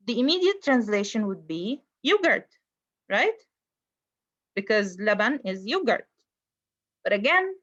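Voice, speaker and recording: A woman's voice: mid-range voice, fairly bright tone, lo-fi sound. A woman lecturer teaching an online class over a video call, talking conversationally and often putting questions to her students. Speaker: university lecturer.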